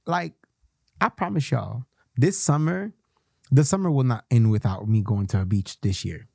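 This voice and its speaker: A man's voice: medium pitch, warm tone, no echo, clean studio sound. A young man from Haiti, hosting a radio show in a casual, passionate way, switching between English and Creole and repeating himself for emphasis. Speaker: radio host.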